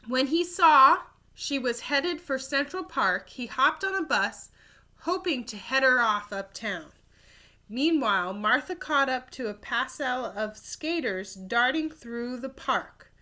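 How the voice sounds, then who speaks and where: A woman reading a children's story aloud expressively and deliberately, like a teacher addressing young listeners. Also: high voice, expressive, pushed and bright.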